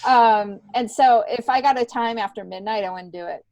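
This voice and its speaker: A middle-aged American woman telling stories casually as a guest, in a halting, animated way that often restarts sentences. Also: on the high side. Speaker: podcast guest, stand-up comedian. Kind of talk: casual conversational storytelling.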